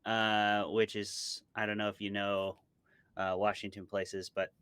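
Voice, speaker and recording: A man's voice: fairly low pitch, level tone, measured pace, fairly bright tone, clean tone, dry recording. A man from Montana with an American accent, chatting casually and with self-deprecating humor as an interview guest. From small town in Montana, United States. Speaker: interview guest, horror writer. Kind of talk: casual conversational interview answers.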